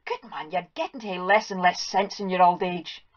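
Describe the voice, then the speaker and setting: A woman voicing a fussy, excitable old farm wife in a Scots accent, shrill and flustered as she scolds. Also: moderate pace, close to an everyday voice. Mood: fretful scolding. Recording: dull lo-fi recording.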